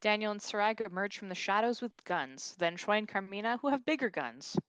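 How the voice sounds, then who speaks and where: A woman's voice: mid-range voice, lively intonation, fairly quick, a bit bright, rough recording. A woman narrating the stage directions of a script at a table read.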